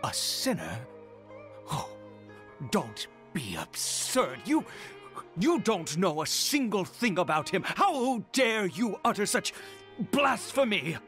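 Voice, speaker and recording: A man playing a fanatical, unhinged father devoted to a mysterious god in a video game, speaking in a theatrical, halting way with emphatic swells. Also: sits high, wildly swooping pitch, a bit bright, soft background music, exaggerated voice. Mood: indignant, zealous. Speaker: voice actor. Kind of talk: dramatic game dialogue acting.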